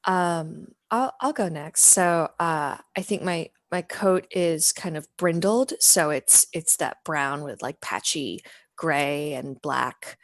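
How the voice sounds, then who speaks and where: A woman's voice: sits low, takes it slowly, no room sound. A woman playing a tabletop game, talking casually and searching for words. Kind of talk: casual actual-play chat.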